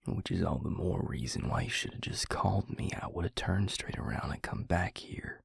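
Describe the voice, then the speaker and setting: A man playing a weary, grieving vampire lover, tender and gruff, in an intimate audio roleplay with a husky, gravelly murmur. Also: expressive, no echo, whispered, studio quality, heavily acted. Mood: weary, brooding. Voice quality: husky, breathy, gravelly low voice. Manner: slow, halting, murmured, with sighs.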